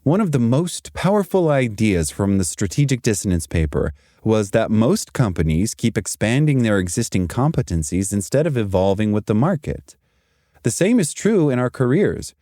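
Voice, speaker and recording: Mellow man, motivational talk. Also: on the low side, very animated, dry recording, clean studio sound. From Zimbabwe and South Africa. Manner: emphatic, deliberate, short punchy sentences. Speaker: podcast host and career speaker. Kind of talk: scripted motivational career talk.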